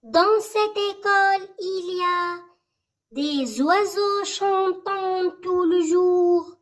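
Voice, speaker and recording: An extremely high, child-like voice recites a poem very slowly. The lines come in short, measured phrases with pauses between them. It is delivered as a school recitation meant for learning by heart. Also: fairly bright tone. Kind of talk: slow poem recitation for memorization.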